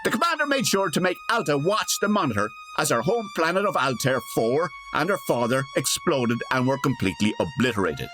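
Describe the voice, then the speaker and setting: Theatrical male narrator, hugely animated pitch. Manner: theatrical, wry. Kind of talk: scripted comic narration. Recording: loud music behind the voice. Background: musical score.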